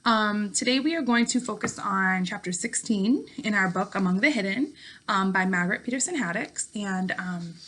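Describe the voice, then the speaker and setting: A woman teacher reading a story aloud to her class in an expressive way, with casual remarks. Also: mid-range voice, moderate pace.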